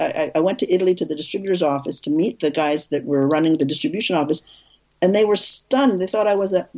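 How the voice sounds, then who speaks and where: Woman, director as interview guest; low husky voice, animated rambling storyteller, lo-fi remote sound. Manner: animated, rambling, frequent restarts and laughs.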